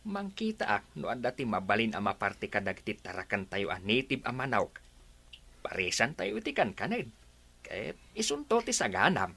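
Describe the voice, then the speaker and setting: A man playing a gentle, humble old father. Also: on the low side, wildly swooping pitch, moderate pace, creaky, husky, heavily acted. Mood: tender. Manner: soft, apologetic.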